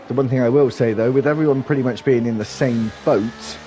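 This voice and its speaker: A man giving live commentary on an online sim race, talking in a steady running flow with small restarts and sounding a bit stuffy from hay fever. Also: medium pitch, brisk, a little hiss or hum.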